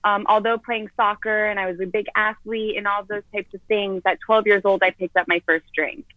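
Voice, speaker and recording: A woman's voice: medium pitch, fairly even intonation, moderate pace, no echo, phone-quality sound. A woman from Florida, a guest on a video call, telling her own story in a candid, earnest way. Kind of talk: personal story in an interview.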